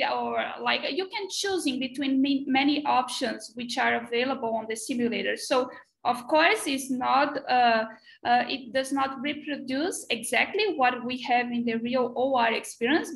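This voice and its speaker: A woman's voice: on the high side, gravelly, poor audio. A young adult woman whose first language is Portuguese, answering examiners at her thesis defense over a video call with a headset. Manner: steady explanatory answers, frequent "so". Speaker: doctoral candidate. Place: small bright room indoors.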